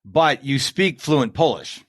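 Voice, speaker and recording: A man's voice: mid-range voice, lively intonation, takes it slowly, dry recording, studio quality. A man hosting a podcast, chatting in an upbeat, folksy way. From United States. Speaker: podcast host. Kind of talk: casual interview chat.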